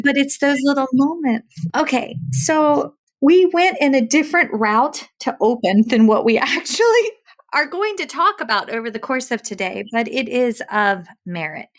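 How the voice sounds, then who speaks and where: A woman's voice: high voice, very animated, moderate pace. A woman hosting a casual interview podcast, chatty and effusive, sharing her own anecdotes. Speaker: podcast host, speech-language pathologist.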